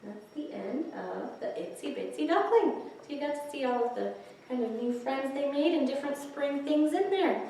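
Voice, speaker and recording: A woman's voice: a little high, a little hiss or hum. A woman hosting a story time for young children, speaking in simple, encouraging phrases as she introduces and reads picture books. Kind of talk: children's story time, reading and singing along. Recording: very echoey room, far from mic.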